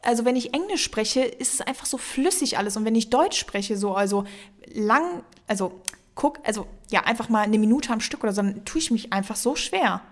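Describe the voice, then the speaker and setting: A woman's voice: fairly high pitch, animated, fairly quick. A young woman co-hosting a casual podcast, rambling through her thoughts with many fillers and the odd English word mixed in. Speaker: podcast co-host.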